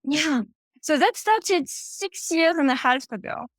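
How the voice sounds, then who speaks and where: A woman's voice: high voice, lively intonation, slow pace, no room sound. A woman in her thirties with a French accent, a podcast guest telling her story in an open, chatty way. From France. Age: 30s. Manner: chatty and open, with frequent fillers and asides. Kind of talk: casual conversational storytelling.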